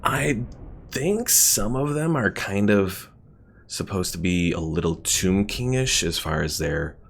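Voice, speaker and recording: A man's voice: low voice, animated, slow pace, creaky. A man streaming a strategy game, chatting loosely and answering viewer questions in a rambling way full of "like" and "kinda". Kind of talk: casual live-stream commentary reading chat. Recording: game music playing under the voice.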